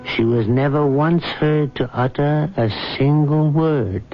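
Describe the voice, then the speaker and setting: A man's voice: fairly high pitch, slow. An actor playing a humble, wistful fool, a rabbi's servant, telling his story reflectively in a radio drama. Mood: wistful, confiding. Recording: dull lo-fi old radio broadcast, loud music.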